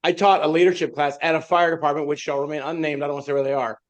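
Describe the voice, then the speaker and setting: Male American panelist, high-pitched, informal storyteller who acts out quotes. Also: little pitch movement, rapid-fire. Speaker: podcast panelist, leadership instructor. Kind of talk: casual chat with anecdotes.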